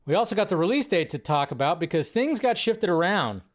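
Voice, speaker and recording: A man's voice: sits high, sing-song, a little fast. A young adult man hosting a casual live movie news stream, rambling and correcting himself as he talks. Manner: rambling, self-correcting, chatty.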